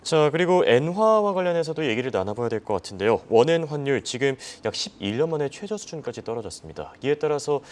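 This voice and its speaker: A man speaks as a news anchor interviewing a guest. His delivery is fast and his pitch moves animatedly, while the phrasing stays polite and formal. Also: sing-song, darkish tone. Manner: polite, formal, brief acknowledgements. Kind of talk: broadcast interviewing.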